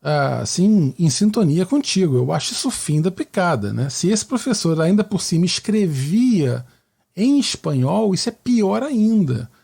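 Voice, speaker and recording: A man with a Carioca Brazilian accent and a husky, fairly high voice talks like a teacher hosting his own show. His tone is mellow, and he explains things conversationally, often checking that the listener follows. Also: big pitch swings, moderate pace. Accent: Carioca Brazilian Portuguese. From Rio de Janeiro, Brazil. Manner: didactic, with frequent tag questions seeking agreement. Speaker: podcast host and mathematics teacher.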